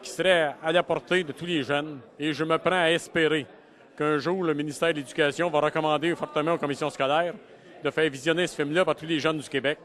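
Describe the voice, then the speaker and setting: A man's voice: a little high, steady tone, brisk, a bit bright. A man with a Quebec French accent gives considered remarks in an interview as a member of an audience. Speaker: audience member giving opinion.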